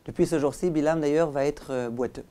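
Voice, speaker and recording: A man, a rabbi, giving a religious lesson as animated storytelling, with dramatic pauses and direct address to his listeners. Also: on the high side. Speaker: rabbi, teacher.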